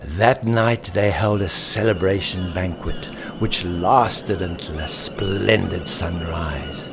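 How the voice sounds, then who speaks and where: A man reading a story as its author, theatrically, with dramatic drawn-out phrasing that drops into hushed whispers. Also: low voice, gravelly, over music.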